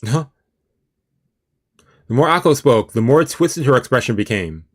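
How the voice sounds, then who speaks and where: A man's voice: medium pitch, lively intonation, unhurried. A man reading a visual novel aloud as a let's play, with casual asides and stumbles over long words. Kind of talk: let's play commentary and read-aloud narration. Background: video game music.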